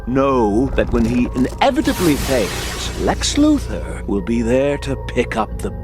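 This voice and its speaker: An arrogant game supervillain, speaking slowly with wide swings of pitch. He is scornful and deliberate, full of grand ambition. Loud music runs under him. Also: huge pitch swings. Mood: cold resolve. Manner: haughty, deliberate, contemptuous. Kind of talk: video game villain acting.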